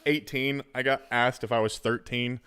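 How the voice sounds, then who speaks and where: Smooth-voiced man host in his thirties. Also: mid-range voice, fairly even intonation, normal speed, sharp tone, no echo, clean studio sound. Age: 30s. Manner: rambling, restarting his questions. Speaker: podcast host. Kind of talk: casual interview chat.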